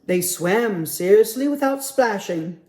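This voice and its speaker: Guy doing kids story time, slow and gentle, reads with lots of expression. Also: high-pitched, lively intonation. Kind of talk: children's story time reading.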